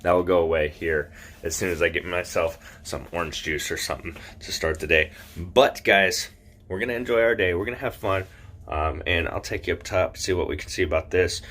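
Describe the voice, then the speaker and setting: Raspy, low-voiced man vlogging. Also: a little fast, fairly bright tone. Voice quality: raspy morning voice. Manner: addresses viewers directly, informal. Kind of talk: casual vlog chat to camera. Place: aboard a cruise ship. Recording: clear background noise, ship ambience.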